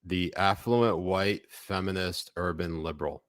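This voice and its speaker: A low-pitched man trades sarcastic, mock-serious political banter as a podcast co-host. The sound is dry and close, with no room echo behind him. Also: steady tone, measured pace.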